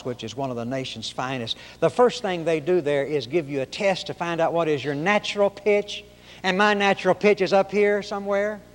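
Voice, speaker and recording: A man from Oklahoma with a high-pitched nasal voice, preaching a sermon in folksy storytelling fashion. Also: big-room sound. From Oklahoma, raised in Arkansas.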